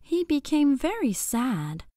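Slow, high-pitched woman reading a children's story. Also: dry recording, studio quality. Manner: expressive, deliberate, clearly phrased delivery for young children. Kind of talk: scripted bedtime story read-aloud.

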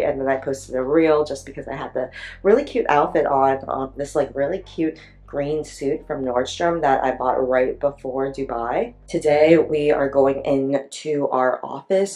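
A tired woman in her thirties with a raspy voice, chatting casually to camera in a vlog. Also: rumbling low, warm tone. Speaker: vlogger. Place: small hotel room indoors.

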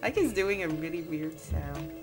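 A woman's voice: sits low, expressive, moderate pace, music in the background. Giggly stuffy-nosed young woman gamer. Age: young adult. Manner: giggly, chatty, swears mildly. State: stuffy nose from a cold. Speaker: let's play host. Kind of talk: casual gameplay commentary.

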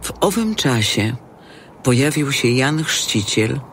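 Slow, mid-pitched male gospel narrator. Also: big pitch swings, fairly bright tone, slight background noise. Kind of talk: scripted audio drama narration.

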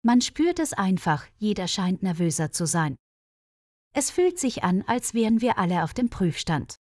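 A woman voicing a friendly, sociable female nurse in a storybook, with earnest, clear delivery. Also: medium pitch, big pitch swings, moderate pace, a little mellow, no room sound. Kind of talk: storybook dialogue reading.

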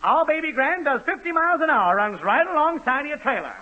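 A man's voice: very high voice, animated, brisk. An actor playing a pushy, cheerful car salesman with brash folksy patter in a 1930s radio comedy. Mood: eager, pushy. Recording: muffled noisy 1930s radio broadcast.

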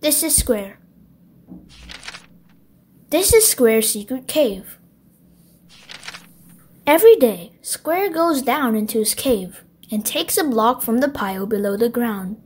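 A woman's voice: fairly high pitch, animated, slow, pauses often, darkish tone, a little hiss or hum. A woman narrating a children's picture book as a scripted bedtime read-aloud.

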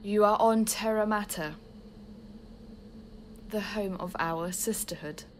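A woman's voice: medium pitch, slow, a little hiss or hum, close to an everyday voice. A woman playing a stern, menacing nun in an audio drama, speaking formally and with command. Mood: cold, threatening. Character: stern, menacing nun of a death-worshipping sisterhood.

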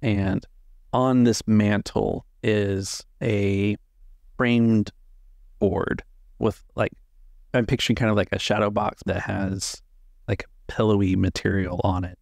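A man improvising narration as a tabletop game master, in a measured, halting way with drawn-out words. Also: on the low side, level tone, gravelly, a little mellow, no echo, studio quality.

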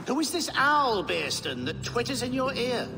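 A sceptical Saxon nobleman in a game. His high voice rises and falls sharply, formal and pointed, echoing as in a hall. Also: big pitch swings, normal speed, gravelly, noisy background, full character voice. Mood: indignant. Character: indignant, sceptical Saxon thane challenging his lord. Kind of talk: video game cutscene acting. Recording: echoing stone-hall reverb.

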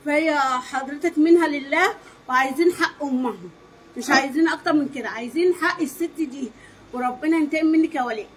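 A woman's voice: high-pitched, fast, poor audio. A middle-aged woman with an Egyptian accent gives an emphatic eyewitness account in a street interview, acting out quoted dialogue as she goes. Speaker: neighbour giving eyewitness account. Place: outdoors against a brick wall in a street. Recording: faint outdoor background noise.

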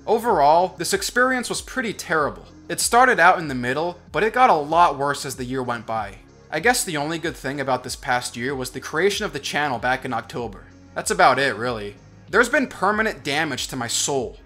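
A young man in college giving sarcastic, deadpan commentary as a voice-over. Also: fairly high pitch, fairly quick, faint music behind. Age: young adult. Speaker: commentary vlogger. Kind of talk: sardonic scripted commentary over animation.

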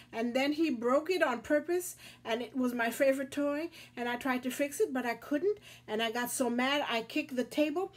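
A woman's voice: sits high, pitched above the performer's own voice. A woman doing a whiny, upset little boy. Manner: breathless run-on whining complaint. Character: upset little boy, scaredy-cat kid.

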